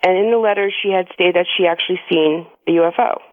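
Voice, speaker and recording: A woman's voice: fairly low pitch, quick pace, no echo. American woman caller on a tinny phone. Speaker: radio show caller. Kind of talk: casual chat. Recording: tinny phone line on radio.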